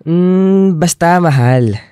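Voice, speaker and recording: An actor playing a young, sweet, easygoing boyfriend in a radio drama, coaxing and earnest in casual conversational dialogue. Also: male voice, a little high, animated, slow pace, warm tone, clear, resonant tone, no echo, clean studio sound. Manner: casual, coaxing, hesitant stammers and chuckles.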